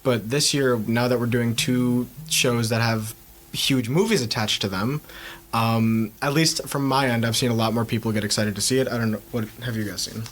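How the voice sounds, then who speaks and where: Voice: mid-range voice, steady tone, normal speed, slight background noise. A teenage student actor answering interview questions hesitantly, with many ums and restarts. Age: teens. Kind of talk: casual interview.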